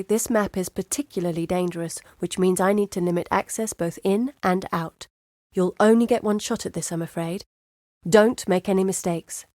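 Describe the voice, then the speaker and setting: An actress playing a determined scholar-adventurer in a video game, briefing the player on missions in a measured, articulate, businesslike way. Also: female voice, mid-range voice, a little mellow, dry recording, studio quality, lightly acted. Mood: cautioning. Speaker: quest-giver character in an action RPG. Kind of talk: scripted game dialogue, briefing.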